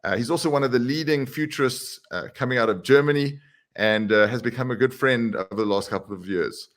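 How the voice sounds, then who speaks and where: A man's voice: medium pitch, fairly quick, fairly bright tone. A male podcast host introducing a guest and asking questions. He talks casually and loosely, padding his sentences with fillers as he goes. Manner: conversational, frequent "uh" and "you know" fillers. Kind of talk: interview podcast.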